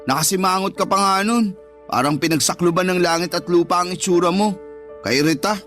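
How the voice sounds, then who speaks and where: Lazy annoyed little brother, whiny comebacks, radio drama, bit of music underneath. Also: male voice, sits high, brisk, a bit bright. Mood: resentful. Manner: whiny, irritated. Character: lazy, sulky, defiant younger brother, married mobile gamer. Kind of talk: Filipino radio drama dialogue.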